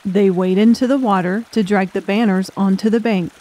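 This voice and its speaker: Female audiobook narrator, medium-pitched voice, scripted dramatic storytelling. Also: steady tone, normal speed, warm tone, a little hiss or hum.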